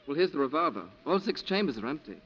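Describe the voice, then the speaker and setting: Dutiful district officer, very quick, clipped, polite, muffled vintage 1940s radio. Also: male voice, a little high, very animated. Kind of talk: 1940s radio drama acting. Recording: dull, slightly noisy 1940s radio.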